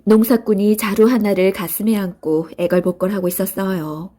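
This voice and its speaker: A woman's voice: medium pitch, fairly even intonation, normal speed, mellow. A woman narrating a children's storybook in a scripted read-aloud style.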